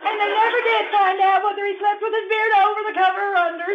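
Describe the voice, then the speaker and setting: A woman's voice: very high voice, fairly even intonation, quick pace, heavy background noise. A woman acting a playful, teasing, unrepentant wife in a theatrical stage radio comedy. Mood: cheeky. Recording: dull muffled live stage audio.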